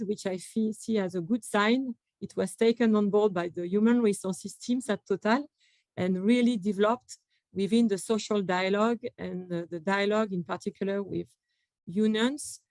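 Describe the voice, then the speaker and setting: A woman with a French accent giving panel remarks at a conference, her pitch sitting in a middle range. She talks in a halting way, full of fillers and restarts. Her voice comes through dry and close, with little room sound around it. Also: darkish tone, no room sound, poor audio. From France. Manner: hesitant, many fillers and restarts. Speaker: panel speaker from a company.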